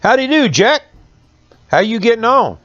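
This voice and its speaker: Man doing a high-pitched old mountain man. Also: sing-song, normal speed, fairly bright tone, dry recording, highs cut off, exaggerated voice. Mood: greeting. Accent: Southern Appalachian American. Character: one-eyed, gray-bearded old mountain man, friendly.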